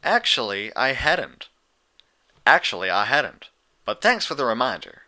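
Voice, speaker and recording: A man's voice: medium pitch, sing-song, unhurried, bright, forceful tone, heavily acted. A man playing a folksy, teasing female farm pony in a story reading, with clipped country phrasing. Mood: smug. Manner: folksy, dropped words, teasing.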